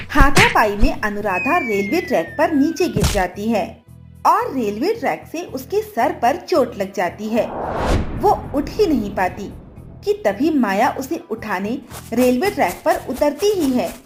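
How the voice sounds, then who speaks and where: A woman storyteller reads a dramatic scripted tale. Her voice is high and very animated, at a slow pace.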